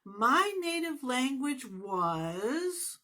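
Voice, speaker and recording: A woman's voice: sits high, very animated, extremely slow, bright, forceful tone. A woman and former math teacher giving an earnest, encouraging motivational talk to her viewers, with playful asides.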